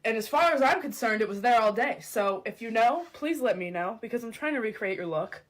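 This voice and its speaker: A woman's voice: fairly high pitch, animated, a little fast. A woman in her twenties chatting casually and directly to her listeners. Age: 20s. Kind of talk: casual direct-to-camera chat.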